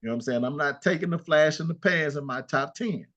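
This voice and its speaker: Animated older man trash-talking sports. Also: fairly high pitch, quick pace. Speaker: co-host. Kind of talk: casual sports talk.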